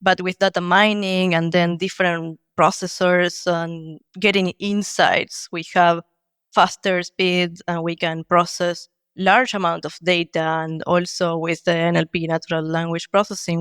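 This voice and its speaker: A woman's voice: on the low side, fairly bright tone, smooth, no room sound, studio quality. A woman from Argentina, a design leader, answering interview questions in a thoughtful, explanatory way with frequent fillers.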